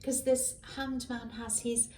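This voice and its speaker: A hoarse woman giving a casual tarot reading, musing aloud with many ums and self-corrections, recorded on a faulty microphone. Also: fairly high pitch, level tone, measured pace, mellow, a little hiss or hum. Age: adult. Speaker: tarot reader. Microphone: malfunctioning microphone at a distance. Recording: echoey room, far from a faulty mic. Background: faint passing cars.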